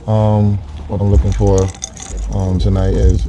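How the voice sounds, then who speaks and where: A man in his forties from Queens on a dinner date, talking casually and hesitantly with lots of pauses and fillers. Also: fairly low pitch, completely flat delivery, mellow, slight background noise. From Queens, New York. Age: 40s. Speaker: dater on a blind date. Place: restaurant dinner table.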